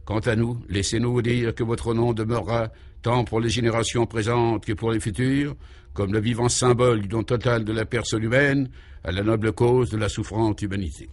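A man's voice: on the low side, fairly even intonation, moderate pace, lightly acted. A man playing a courteous, easily moved male detective in a comic radio serial, speaking with mock earnestness. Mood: grateful, pompous.

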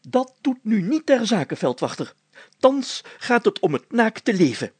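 Guy doing a blustery pompous mayor for a kids story, raspy, close dry sound, formal and groany. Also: sits high, big pitch swings, unhurried, dry recording, voice pushed up, heavily acted. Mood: stern, pompous. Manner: pompous, old-fashioned formal wording, full of groans and interjections. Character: pompous, blustering, self-pitying village mayor, adult man.

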